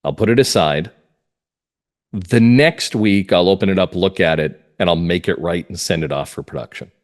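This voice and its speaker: A man's voice: sits low, moderate pace. A man speaking as an interview guest, explaining things earnestly and emphatically in a conversational way. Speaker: guest playwright.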